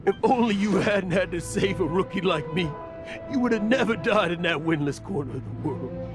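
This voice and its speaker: A man's voice: high voice, expressive, moderate pace. A man playing a proud, guilt-haunted middle-aged adventurer in a video game, theatrical and emotive, over music. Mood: anguished. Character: boastful middle-aged male adventurer hiding guilt and grief. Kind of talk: dramatic video game character acting.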